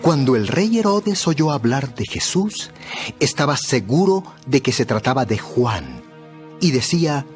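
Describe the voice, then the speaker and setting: Expressive male Bible narrator. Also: medium pitch, measured pace, soft background music. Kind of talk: scripted dramatized Bible narration.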